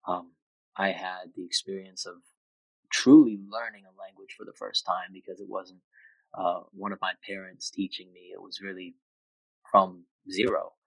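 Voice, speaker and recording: A man's voice: deep voice, level tone, normal speed, husky, no room sound, poor audio. A man in his twenties, raised in Austria, speaking as a podcast guest in a thoughtful, reflective way. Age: 20s. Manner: reflective, thoughtful with frequent restarts. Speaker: interview guest. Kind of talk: casual conversation.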